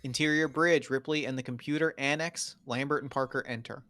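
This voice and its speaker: Male narrator, medium pitch, reads terse screenplay directions for a table read. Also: steady tone, a little fast, sharp tone.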